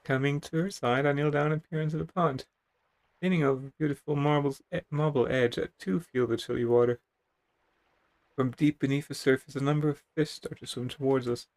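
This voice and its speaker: A man's voice: a little high, fairly even intonation, a little fast, darkish tone, rough recording. A man reading a text adventure game aloud in a dramatic storytelling way, now and then tripping over words. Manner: theatrical, stumbles over words while reading.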